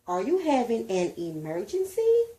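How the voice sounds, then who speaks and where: Woman acting a brisk, high-pitched schoolteacher. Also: wildly swooping pitch. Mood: concerned. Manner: loud, directing, emphatic. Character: brisk, commanding woman kindergarten teacher.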